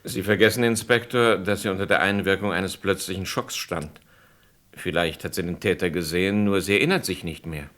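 A man's voice: deep voice, fairly quick, a bit bright. An actor playing a composed senior police chief who challenges his subordinate in a radio crime drama. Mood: calm, questioning.

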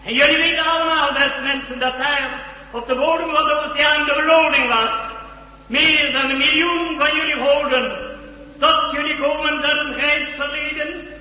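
An actor in a radio drama playing a pompous authoritarian leader giving a solemn, declamatory broadcast speech. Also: male voice, very high-pitched, steady tone, normal speed, bright, forceful tone, full character voice. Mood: solemn, triumphant. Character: pompous authoritarian leader addressing the whole world. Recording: long echoing hall reverb.

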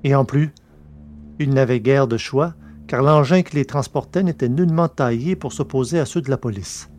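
A man narrating a science-fiction story from a script, in a measured, literary reading manner. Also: mid-range voice, a little mellow, faint music behind.

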